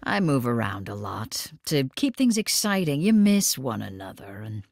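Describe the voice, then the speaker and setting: Wry jealous lover woman, really low voice, lively pitch, blunt n sardonic, audio drama. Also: normal speed, creaky, dry recording, studio quality, exaggerated voice. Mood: wry, resigned. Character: worldly, wry, jealous long-time lover of the hostess.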